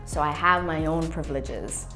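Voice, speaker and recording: A young woman in her twenties speaks in a slightly low voice, earnest and reflective as she is interviewed for a documentary. She talks with speech and a motivational drive, and faint music plays softly behind her. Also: normal speed, rough recording. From Canada. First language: English. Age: 20s. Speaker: playwright and youth worker.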